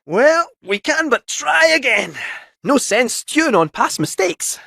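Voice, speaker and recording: A man's voice: very high voice, sing-song, a little slow, creaky, bright, forceful tone, no echo, clean studio sound, exaggerated voice. A man playing a small, chirpy, wily robotic cat in a video game, with a Scottish accent and a theatrical, folksy, chatty delivery. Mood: cheerful, eager. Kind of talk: scripted video game character dialogue.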